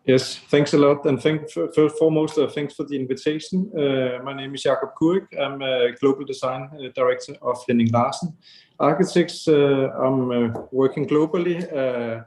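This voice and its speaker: A man, an architect and design director, introducing himself politely on an online panel with halting fillers. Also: medium pitch, fairly even intonation, darkish tone, echoey room. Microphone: video call.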